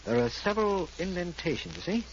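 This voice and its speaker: An actor playing an earnest, businesslike, cautious district attorney in a 1940s radio mystery drama. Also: male voice, high voice, sing-song, brisk. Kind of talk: 1940s radio drama acting and scripted narration. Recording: noisy, muffled old radio recording.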